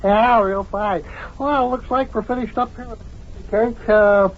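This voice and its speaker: A man's voice: high voice, steady tone, a little slow. A man playing a genial, folksy cab driver with an easygoing, rambling manner in an old children's radio serial. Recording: muffled, lo-fi old radio recording.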